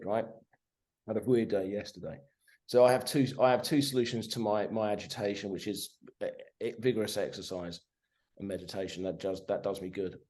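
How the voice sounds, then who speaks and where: A man in his forties talks as a podcast guest over a video call. He swears freely and stays animated. He keeps tagging his points with "right?". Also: on the low side, a little fast. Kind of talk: casual conversation.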